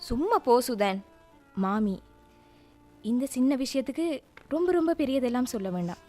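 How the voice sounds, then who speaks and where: Radio play: actress as a gentle young daughter-in-law, high and earnest, a little hesitant. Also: female voice, expressive, normal speed, faint music behind, lightly acted. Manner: soft, earnest, hesitant speech. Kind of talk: radio drama acting.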